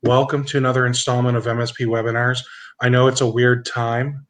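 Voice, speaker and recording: A man's voice: mid-range voice, little pitch movement, brisk. A man hosting a webinar, introducing the guest in an informal, chatty, slightly rambling way. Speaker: webinar host and moderator. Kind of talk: casual introduction.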